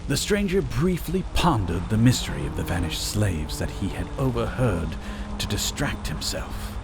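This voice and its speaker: A man narrating a scripted fantasy story in a dramatic, measured storytelling manner. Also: fairly low pitch, big pitch swings, vocal fry, over loud music.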